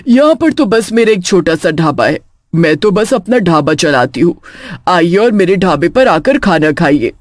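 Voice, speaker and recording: A woman's voice: fairly low pitch, very animated, normal speed, dry recording, clean studio sound. A woman voicing a lonely female witch who runs a small food stall, coaxing yet menacing, in a cartoon horror story.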